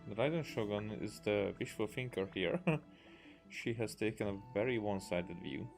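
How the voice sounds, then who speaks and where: A man's voice: fairly low pitch, normal speed. A man giving casual let's play commentary as a gaming streamer, tossing out muttered asides as he reacts to the game. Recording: voice over clear game music. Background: video game music.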